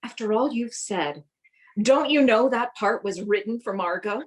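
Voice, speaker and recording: Husky, excitable society wife played by a woman. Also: on the high side, a little slow, low-quality recording. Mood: firm, indignant. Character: warm-hearted society wife, excitable, primly indignant. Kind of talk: radio play acting.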